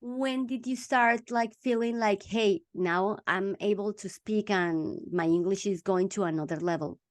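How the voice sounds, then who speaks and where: Female host, middle register; brief questions and affirmations in a relaxed interview. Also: mid-range voice, unhurried. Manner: short follow-up questions and affirmations. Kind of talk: conversational interview.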